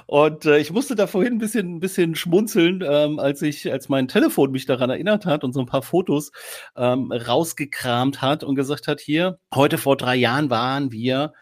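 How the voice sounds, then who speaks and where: A man's voice: on the high side, moderate pace. A man hosting a podcast in casual chat, talking loosely with many filler sounds. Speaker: podcast host.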